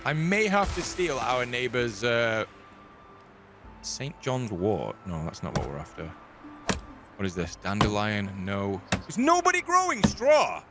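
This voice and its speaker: Excitable young man, big pitch swings. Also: a little slow, heavy background noise. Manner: excitable, exaggerated outrage, joking. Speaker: co-op gaming YouTuber guest. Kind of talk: casual co-op gameplay chat.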